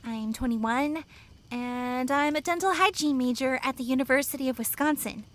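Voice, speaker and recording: Woman playing a high-voiced bubbly college girl. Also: close to an everyday voice. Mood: cheerful. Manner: playful, teasing, drawn-out delivery. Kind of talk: audio drama acting.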